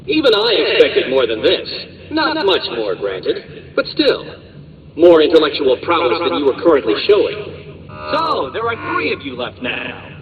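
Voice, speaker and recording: A man's voice: on the high side, background noise. Smug condescending game villain man, pompous and swooping all over, big echoing muffled sound. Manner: pompous, condescending, elaborately worded insults. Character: arrogant, sneering, egotistical male villain obsessed with puzzles. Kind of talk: video game villain taunting. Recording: muffled PA-like sound, long reverb, background voices.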